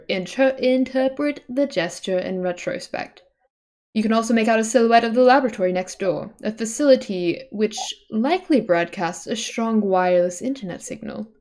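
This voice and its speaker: A woman's voice: medium pitch, a little mellow. A woman reading a webcomic aloud on a livestream in an informal way, breaking off for casual asides and self-corrections.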